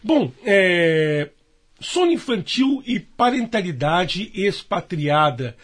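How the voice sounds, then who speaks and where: A man's voice: high-pitched, sing-song, slow pace. A man, hosting a live broadcast interview. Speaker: radio programme host.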